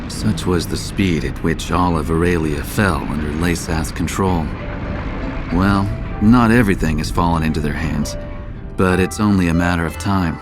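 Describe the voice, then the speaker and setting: A man playing a cynical, world-weary war reporter in a video game, narrating in a measured, wry way. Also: low-pitched, natural voice. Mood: grave, then weary, bitter. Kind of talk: scripted first-person narration. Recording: music behind the voice. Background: prominent background music.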